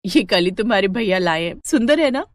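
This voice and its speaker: Woman playing a sweet, lively young daughter-in-law. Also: mid-range voice, brisk, dry recording, clean studio sound, lightly acted. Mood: pleased.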